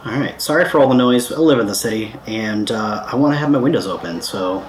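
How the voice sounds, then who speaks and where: A man's voice: mid-range voice, expressive, brisk, poor audio. An American man giving a casual, hands-on DIY repair tutorial in a laid-back, playful, informal way. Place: indoors at home, windows open.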